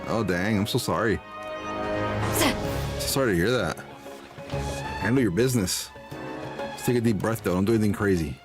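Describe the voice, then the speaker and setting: A man's voice: fairly low pitch, moderate pace, pauses often, music in the background. A man chatting casually as a livestreamer, in an amused way, often repeating himself. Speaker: livestreamer playing a game.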